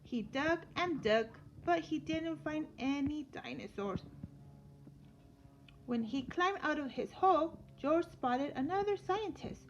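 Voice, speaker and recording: A woman with a high voice reads a picture book aloud like a schoolteacher. Her delivery is slow and expressive. The recording is somewhat dull, with a little noise underneath. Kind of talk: children's story read-aloud. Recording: dull lo-fi sound with light noise.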